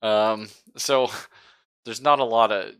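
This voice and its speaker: A man narrating casually as game master of a tabletop game, in an informal, halting way with pauses. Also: lowish voice, fairly even intonation, fairly bright tone.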